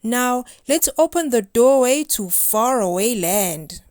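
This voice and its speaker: A woman's voice: fairly high pitch, lively intonation, slow, a little mellow, dry recording, clean studio sound, faint music behind. A woman hosting a recap show, announcing segments in a whimsical scripted style. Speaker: host of a fairy-themed recap show.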